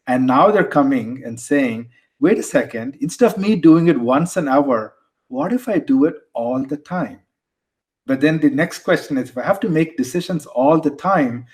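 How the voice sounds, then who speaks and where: Man, Indian accent, podcast guest, explanatory, mid pitch, restarts and repeats words. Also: a little mellow. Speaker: guest, tech executive. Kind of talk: conversational interview answers.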